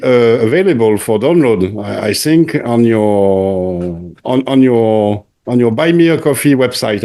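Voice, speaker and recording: A man hosting an interview, speaking hesitantly with many pauses and restarts. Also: medium pitch, mellow. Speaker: interview host. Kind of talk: interview conversation.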